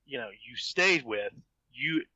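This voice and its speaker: A man's voice: mid-range voice, animated, slow, sharp tone, breathy edge. A man in a casual group podcast chat, speaking as a guest author. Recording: dull, lo-fi voice chat audio.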